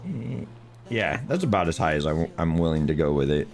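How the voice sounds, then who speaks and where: A man streaming a driving game with a hoarse, unusually deep voice from losing it, talking quietly and chatting with viewers. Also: sing-song, a little fast. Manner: talks quietly to spare his voice; chats to viewers, chuckles at himself. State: hoarse, lost voice. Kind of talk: casual livestream commentary while playing.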